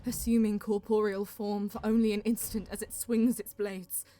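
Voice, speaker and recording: A woman's voice: mid-range voice, little pitch movement, moderate pace, heavy background noise, close to an everyday voice. A woman playing a solemn elf seer, narrating an audio drama in intense, poetic first person over music. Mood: urgent, solemn. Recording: music behind the voice.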